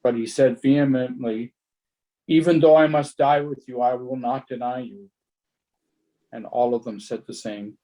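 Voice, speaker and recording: A man's voice: mid-range voice, steady tone, unhurried, dark tone, rough recording. A man reading a scripture narrative aloud from a text, as a church member would for a service.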